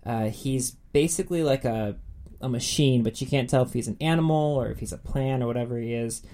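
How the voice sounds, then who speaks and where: A man's voice: medium pitch, fairly quick, mellow. A man co-hosting a podcast in a casual, enthusiastic chat. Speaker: podcast co-host.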